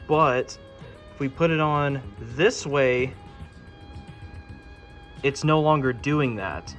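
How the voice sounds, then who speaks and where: A man giving an informal hands-on walkthrough, talking casually as he works. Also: medium pitch. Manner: informal, talking while working with his hands. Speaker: host of a hobby fire alarm channel. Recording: music playing behind the voice.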